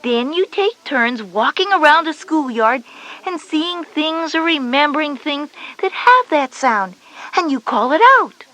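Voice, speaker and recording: A woman's voice: sits high, animated, unhurried, bright, forceful tone, heavily acted, rough recording. A woman voicing a confident schoolgirl big sister, instructive and encouraging, in a children's educational audio drama. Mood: explaining.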